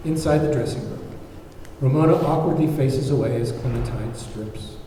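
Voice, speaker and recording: A man narrates a screenplay's scene directions at a table read. His voice is mellow and medium in pitch. He is distant from the mic in a heavily reverberant room with faint noise. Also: normal speed. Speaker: narrator reading stage directions. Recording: very echoey hall, far mic, faint noise.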